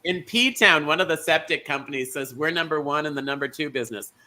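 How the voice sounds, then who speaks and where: A man hosting an online bingo show, teasing his guests with quick, campy asides. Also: fairly high pitch, sharp tone.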